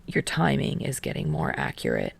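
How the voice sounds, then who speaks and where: A woman voicing a dry, guarded, sarcastic teenage boy in an audiobook-style story reading, deadpan, understated and muttering. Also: very deep voice, normal speed, creaky, breathy edge, no echo, voice pushed down, exaggerated voice. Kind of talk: audiobook character voice in fan fiction reading.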